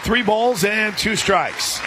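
A man doing live radio play-by-play of softball in a conversational way that can burst into excited calls. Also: high voice, slow, background noise. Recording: crowd and music behind broadcast. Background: stadium crowd, music.

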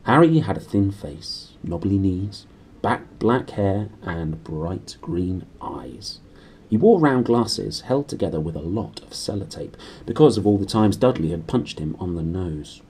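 A British man in his thirties reading a storybook aloud briskly and expressively to a camera in a small room. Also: sits low, very animated, warm tone, a little hiss or hum. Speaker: reader. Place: small decorated room indoors.

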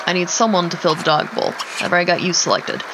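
A woman's voice: fairly low pitch, fast talker, over music, rough recording. A woman giving casual, informal video game commentary, chatting to herself and to the characters as she plays. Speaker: gaming streamer. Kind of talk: casual Let's Play commentary of a life simulation game. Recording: clear background noise, mouse clicks.

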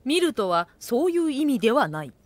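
A woman's voice: high-pitched, sing-song, brisk, slight background noise. A woman playing a wry narrator who makes dry asides in a comedic audio drama. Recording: music behind the voice.

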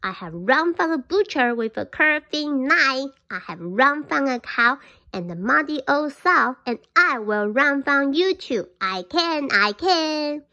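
A woman's voice: on the high side, animated, unhurried, pushed and bright, highs cut off, exaggerated voice. A woman voicing a boastful little gingerbread man in a children's storybook, chanting taunts in a sing-song way. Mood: taunting.

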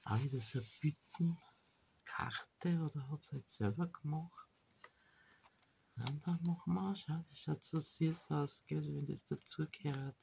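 A woman's voice: low voice, measured pace, vocal fry, background noise. A woman with a stuffy nose, chatting casually as she shows a crafting journal at a table, often trailing off and poking fun at herself. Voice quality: chatty, self-deprecating, trails off mid-sentence. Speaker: crafting vlogger. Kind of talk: casual chat while showing a junk journal. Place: indoors at a table.

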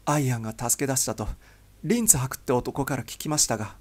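A man's voice: medium pitch, fast talker, a little mellow, lightly acted. A man voicing an impassioned young secret agent who protests urgently, anguished and defiant. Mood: anxious.

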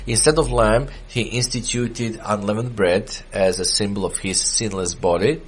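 A middle-aged man whose first language is Serbian, giving a measured sermon with frequent pauses and fillers, quoting scripture in a small church. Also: lowish voice, steady tone, slightly rough. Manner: measured, hesitant with many fillers. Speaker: preacher. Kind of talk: sermon reading and explaining quotes. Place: small church with wooden wall.

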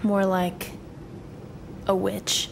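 A woman's voice: mid-range voice, completely flat delivery, extremely slow, gravelly, a little hiss or hum. Cool, reserved young witch. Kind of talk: video game cutscene acting. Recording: loud soundtrack behind the voice.

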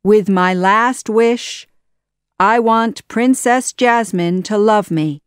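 A woman voicing an evil, commanding male sorcerer in a children's storybook, with a menacing, shouting delivery. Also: mid-range voice, slow pace, clear, resonant tone, dry recording, clean studio sound, voice pushed up, lightly acted. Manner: shouting, imperious.

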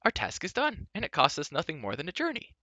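A man voicing a lively, outspoken young woman who chatters and teases. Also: on the high side, fast talker, no room sound, lo-fi sound, pitched above the performer's own voice, exaggerated voice. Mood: relieved.

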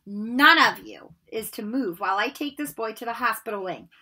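A woman voicing a brisk female teacher, stern but caring, with a firm, commanding manner. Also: on the high side, animated, pushed and bright, breathy edge, full character voice.

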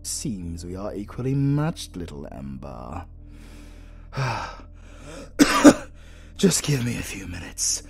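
Man acting a low, theatrical British supervillain. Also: sing-song, darkish tone, slightly rough, faint music behind, heavily acted. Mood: weary, wry. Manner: theatrical, swinging from slow menace to exasperated outbursts. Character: arrogant, flirtatious, theatrical male supervillain, easily flustered. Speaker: voice actor. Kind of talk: romantic comedy audio roleplay.

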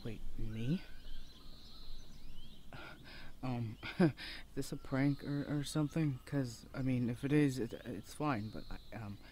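A man's voice: medium pitch, a little slow, mellow, a little hiss or hum, lightly acted. A young man playing a shy, nerdy college student in an ASMR roleplay, hesitant and flustered as he rambles nervously. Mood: flustered, unsure. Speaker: voice actor. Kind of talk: ASMR boyfriend audio roleplay. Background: birds and outdoor ambience.

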